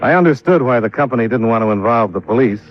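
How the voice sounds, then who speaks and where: An American actor playing a wry, steady private investigator in a vintage radio drama, talking and narrating in a measured, dry, matter-of-fact way. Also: male voice, medium pitch, lightly acted. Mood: brooding narration. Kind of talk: 1950s radio drama acting and first-person narration. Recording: muffled vintage radio recording with music.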